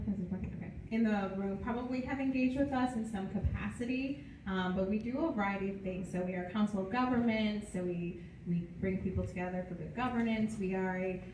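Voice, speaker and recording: A woman program manager with a quiet, soft-spoken voice, explaining her organization's work at a panel. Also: medium pitch, steady tone, a little fast. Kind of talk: live panel talk. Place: meeting room with an audience. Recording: echoey room, distant mic, clear background noise.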